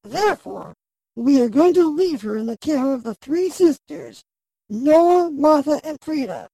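Man putting on a high, creaky fairy-tale witch woman. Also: animated, measured pace, dark tone, no echo, exaggerated voice, poor audio. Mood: formal. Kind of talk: fairy tale audio drama acting.

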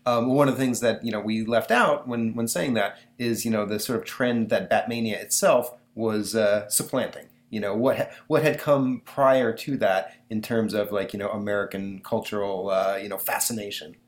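A man's voice: fairly low pitch, fairly even intonation, normal speed, few pauses. A man hosting a podcast, chatting casually with lots of fillers and restarts. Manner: rambling, many fillers and restarts. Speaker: podcast host. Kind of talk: casual chat.

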